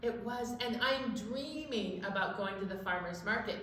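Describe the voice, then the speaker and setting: A woman presenting a children's storytime in a playful, animated way, moving between chatty talk and sing-along. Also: medium pitch, normal speed. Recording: very echoey room, distant mic, faint noise.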